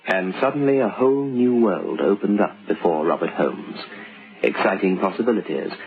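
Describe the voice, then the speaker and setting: An actor playing the sly, knowing narrator of a radio suspense story, speaking in a deliberate, dramatic and wry manner. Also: male voice, sits low, music in the background. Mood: wry, suggestive. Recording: muffled old radio recording.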